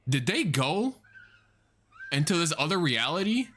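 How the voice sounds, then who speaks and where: Excitable man reacting to a horror video. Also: a little high, unhurried. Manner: excitable, swears a lot, thinks out loud. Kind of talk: casual reaction commentary.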